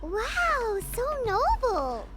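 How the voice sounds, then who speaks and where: A tiny, excitable fairy girl from a video game, squeaks in an extremely high childlike voice. Her pitch leaps wildly with naive, greedy eagerness, over loud game music. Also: extremely slow, full character voice. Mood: amazed, excited. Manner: excitable, exclaiming. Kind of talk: cartoonish video game dialogue.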